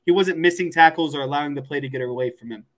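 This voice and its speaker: Male sports panelist, slightly high voice, conversational analysis with repeated words for emphasis. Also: quick pace. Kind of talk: casual sports commentary.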